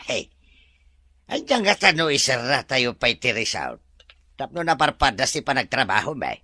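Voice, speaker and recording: A man's voice: medium pitch, normal speed. A man playing an older, talkative resort worker in an Ilocano Filipino audio drama, obliging and teasing, full of interjections. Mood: obliging, chatty. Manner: animated, full of interjections and chuckles.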